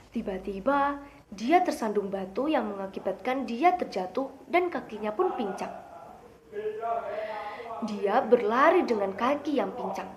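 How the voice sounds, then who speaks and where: A young woman telling a children's fable in an expressive storytelling style. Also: on the high side, noisy background.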